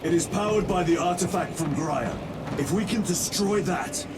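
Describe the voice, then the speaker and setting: An actor playing a stern, resolute lieutenant of space soldiers, terse and commanding, in a dramatic video game. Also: male voice, sits high, normal speed, very noisy, natural voice. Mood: grim, resolute.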